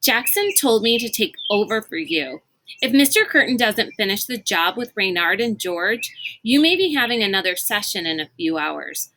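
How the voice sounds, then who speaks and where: A woman's voice: mid-range voice, expressive, moderate pace, pushed and bright, a little hiss or hum. A woman voicing a smug, callous girl guard in a storybook, with a dismissive, scornful manner.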